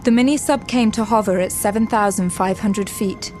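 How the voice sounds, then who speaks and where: Female narrator for audio drama: mid-range, measured, suspenseful storytelling with clear musical score. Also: medium pitch, over music.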